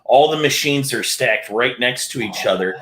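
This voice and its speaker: A man's voice: mid-range voice, normal speed, a bit bright, slight background noise. A man on a panel chat, telling a laid-back story. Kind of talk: casual chat. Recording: roomy echo.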